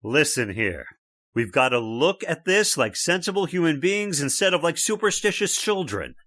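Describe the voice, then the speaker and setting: A man's voice: on the high side, very animated, moderate pace, a bit bright, dry recording. An actor playing a stubborn, skeptical middle-aged father in a radio horror drama, scornful and dismissive. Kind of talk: old-time radio drama acting.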